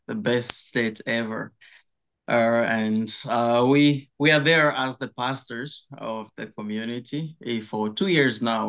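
A young man from the Democratic Republic of Congo, a pastor, telling his story on a video call, slowly and with many fillers. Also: mid-range voice, gravelly. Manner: slow, hesitant with many fillers, laughs easily. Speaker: pastor, guest. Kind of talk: casual interview chat.

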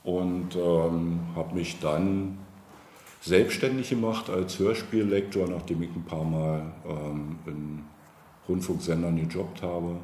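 A man's voice: low voice, steady tone, takes it slowly, dark tone. A man in his forties from eastern Germany answering interview questions in a reflective, winding, somewhat hesitant way. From Brandenburg, East Germany. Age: 40s. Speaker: interview guest, local politician. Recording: roomy echo, faint background noise.

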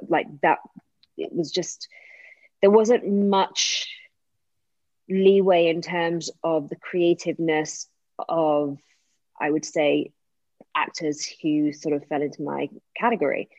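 A woman talks loosely and animatedly as an interview guest, piling up fillers and restarts. Her voice sits very low. The recording is dry, dull and lo-fi. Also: slow pace, pauses often, no room sound. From England. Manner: chatty, animated retelling with many fillers and restarts. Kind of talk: casual interview chat.

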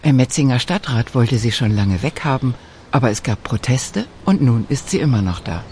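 A man narrates a radio documentary in a calm, measured, scripted manner. His voice sits at an ordinary middle pitch. A faint hiss of background noise lies under the reading.

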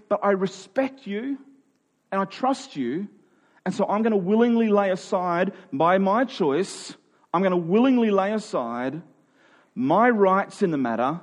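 A man's voice: high voice, a little mellow. A man preaching a sermon in a conversational way, building to emphatic peaks. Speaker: pastor.

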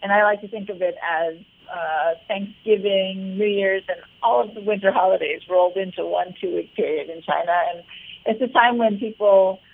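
A woman's voice: mid-range voice, moderate pace, vocal fry. A woman speaking as an arts leader in a radio interview, explaining things in a conversational way. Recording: narrow phone-like radio sound.

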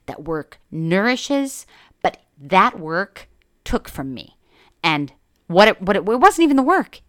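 Blunt, lively-voiced woman podcaster. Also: fairly low pitch, dry recording, clean studio sound. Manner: blunt, emphatic, swears freely; reflective, self-deprecating asides. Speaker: podcast host. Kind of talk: candid personal monologue.

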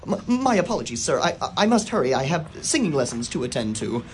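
A performer voicing a skittish young schoolmaster who stammers at every turn, his pitch lurching up and down. He is superstitious and easily startled, in a radio play, with a faint hum underneath. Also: very animated, fast, close to an everyday voice. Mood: uneasy. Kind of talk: radio drama acting.

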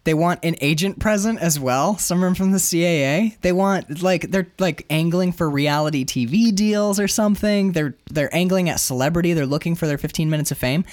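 A man's voice: on the high side, a little fast, hardly any pauses, darkish tone, no room sound, clean studio sound. A man co-hosting a comic book discussion in an emphatic, opinionated and sometimes profane casual style.

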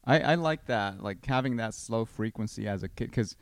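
A man from Costa Rica hosting a casual podcast chat, easygoing and quick to laugh. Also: lowish voice, expressive, no echo, clean studio sound. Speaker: podcast host.

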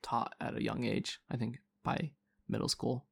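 A man's voice: mid-range voice, steady tone, moderate pace, creaky, slightly rough. A man co-hosting a podcast in casual chat, hesitant with many fillers and restarts. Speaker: podcast co-host.

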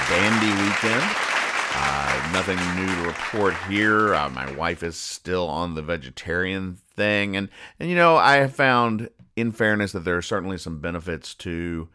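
A man hosting a podcast in a casual recap style, with a deadpan, dryly sarcastic delivery. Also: sits low. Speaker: podcast host. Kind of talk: casual comic-strip recap monologue.

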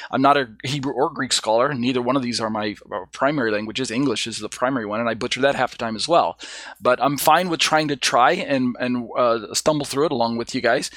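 A man in middle age leads a casual Bible study, his voice sitting at an ordinary pitch. He stumbles and restarts often and makes light of his own mistakes. The recording is dry and close, with no room sound. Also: a bit bright, no room sound. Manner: self-deprecating, stumbling over Greek readings, frequent fillers. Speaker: Bible teacher. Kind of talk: informal Bible study lesson.

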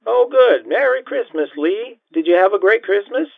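Guy putting on a tipsy flirty woman, slurry s's, way higher, gravelly, phone-line thin. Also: expressive, moderate pace, dry recording, full character voice. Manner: slurred, sloppy sibilance.

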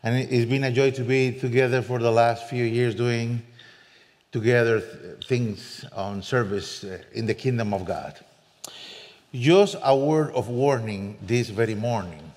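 A man from Costa Rica with a Costa Rican Spanish accent, a native Spanish speaker, preaching as a guest with earnest, self-deprecating warmth and frequent restarts. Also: mid-range voice, a little slow. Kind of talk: sermon. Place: church sanctuary. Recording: long church reverb.

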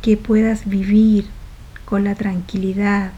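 Slow, mellow woman guiding a meditation. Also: mid-range voice, level tone, lo-fi sound. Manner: soft, unhurried, phrase by phrase with trailing breathy endings. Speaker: meditation guide. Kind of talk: guided meditation.

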